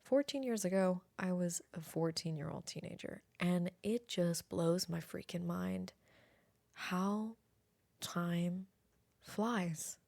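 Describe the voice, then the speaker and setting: A woman's voice: fairly low pitch, slow pace, vocal fry, darkish tone, dry recording. A woman in her late twenties chatting casually as a podcast host, reflective and repeating phrases for emphasis. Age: late 20s. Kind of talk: casual solo podcast chat. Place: at home near an airport.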